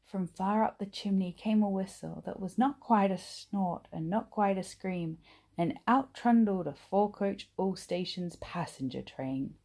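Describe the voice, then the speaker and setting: A woman reading a children's bedtime story aloud in an expressive, acted-out manner. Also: medium pitch, a little slow, vocal fry. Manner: animated, acts out dialogue and sound words. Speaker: storybook reader.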